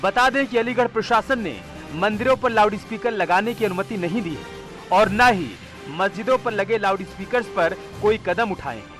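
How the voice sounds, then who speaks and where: Man, news reporter voice-over, high-pitched, emphatic, measured, soft music under. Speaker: field reporter.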